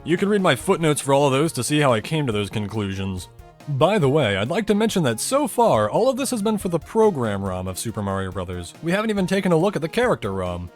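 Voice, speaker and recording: A man's voice: fairly high pitch, big pitch swings, fast, faint music behind. A man narrating a scripted technical explainer in a conversational, methodical way, with casual asides.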